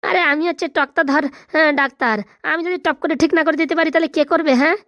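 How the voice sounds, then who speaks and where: Woman as a high-pitched, cocksure cartoon doctor. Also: steady tone, quick pace, a bit bright, dry recording, clean studio sound. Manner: boastful, emphatic. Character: brash, cocksure village doctor with comic diagnoses. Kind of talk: comic cartoon voice acting.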